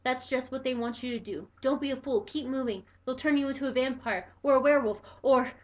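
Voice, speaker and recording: Storybook voice: woman doing a jumpy, dramatic male cat, loud and breathless, pitched up. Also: a little fast, highs cut off, slight background noise, lightly acted. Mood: urgent. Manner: breathless, urgent warnings. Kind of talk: storybook read-aloud.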